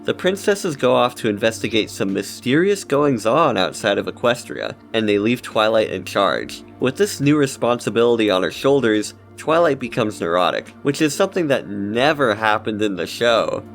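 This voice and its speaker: Male video essayist, low pitch, casual scripted commentary over background music. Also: big pitch swings, moderate pace, hardly any pauses.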